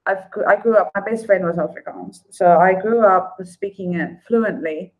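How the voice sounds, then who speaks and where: A woman's voice: medium pitch, moderate pace, narrow, tinny audio. A South African woman hosting a casual livestream and answering her viewers' comments. She talks in a rambling, conversational way, with fillers and restarts, and calls viewers by name. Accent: South African English. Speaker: livestream host reading and answering viewer chat. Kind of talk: casual unscripted livestream chat.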